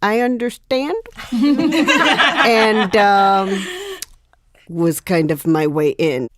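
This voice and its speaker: A woman reflecting as an interview guest, choosing her words in a deliberate, emphatic way. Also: medium pitch, crowd noise. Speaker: guest actor.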